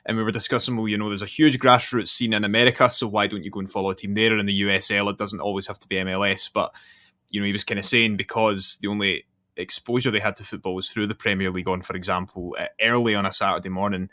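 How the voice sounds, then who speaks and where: Low-voiced male podcast host. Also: little pitch movement, fast talker, sharp tone, no echo. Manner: talkative, explains at length with fillers. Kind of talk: casual podcast interview.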